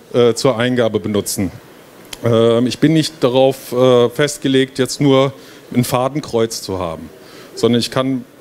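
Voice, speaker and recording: A man's voice: medium pitch, a little mellow. A man giving an informal, explanatory presentation in a conversational way into a handheld microphone. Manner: conversational, explanatory, with rhetorical questions. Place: stage at a busy book fair hall, before a seated audience. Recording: noisy fair hall ambience.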